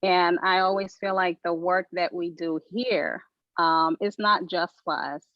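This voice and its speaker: A woman's voice: fairly low pitch, fairly even intonation, a little slow, no room sound, muffled recording. A woman from Liberia speaking personally and conversationally as a patient advocate on a panel.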